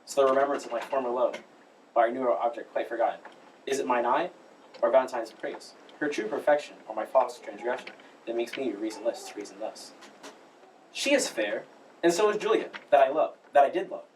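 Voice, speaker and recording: A man playing a conflicted, lovesick young Shakespearean gentleman in an earnest stage soliloquy. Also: medium pitch, a little fast, natural voice. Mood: torn, brooding. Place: school showcase stage, recorded from the audience. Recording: noisy, distant stage recording with clicks.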